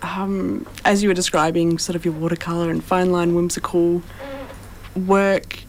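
A young woman co-hosting a radio interview, asking gentle, hesitant questions. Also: on the low side, little pitch movement, moderate pace, slight background noise, low-quality recording. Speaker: co-host.

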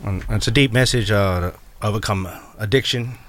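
An Australian man from Brisbane, guesting as a rapper on a radio show, speaks in a fairly low voice. He talks hesitantly, often stopping and restarting with fillers as he thinks. He is in a radio studio. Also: fairly quick, fairly bright tone. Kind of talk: casual radio interview.

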